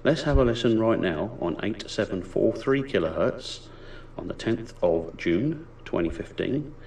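A man from the UK, hosting his own radio hobby video, narrating in a calm, measured, explanatory voice-over. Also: on the low side, recorded from a distance, a little hiss or hum, poor audio.

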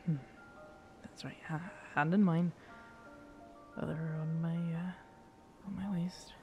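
A performer acts out a cursed pirate captain in an intimate audio drama. The delivery is unhurried and halting, with rough seafarer phrasing. The captain is guarded and weary yet tender, at a middling pitch, with faint music behind. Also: fairly even intonation, a little mellow. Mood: awkward, softly teasing. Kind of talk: ASMR roleplay audio drama, intimate second-person scene.

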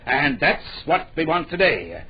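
An absent-minded, dismissive male publisher in an old radio drama. He mutters and repeats himself, his pitch leaping about animatedly. The recording is muffled, with some hiss. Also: big pitch swings, measured pace. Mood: blustering.

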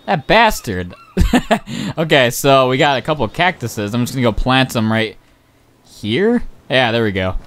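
Young male game commentator, smooth voice, hoarse, rambling and excitable, many ums. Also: mid-range voice, normal speed. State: voice going hoarse. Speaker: gaming channel host. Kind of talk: casual gameplay commentary.

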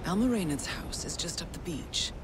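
An actress playing a cool, composed intelligence handler in a video game, briefing an assassin in a measured, dryly wry manner. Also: female voice, lowish voice, expressive, very noisy, close to an everyday voice. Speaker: mission handler. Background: background music.

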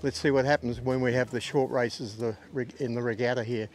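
A man, a yacht skipper giving a brisk, positive race summary. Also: mid-range voice, steady tone. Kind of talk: post-race interview.

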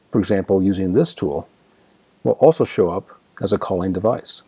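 Male American tutorial presenter, dark soft-edged tone, dry close recording, explains each step methodically. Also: lowish voice, breathy edge, no room sound. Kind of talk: step-by-step screen demonstration narration.

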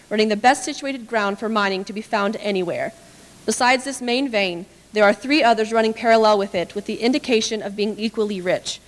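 A young woman giving a measured, formal reading of a historical text at a podium microphone. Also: fairly high pitch. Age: young adult. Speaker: reader at a staged dramatic reading. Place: large indoor hall with small audience. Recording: echoey hall, podium microphone.

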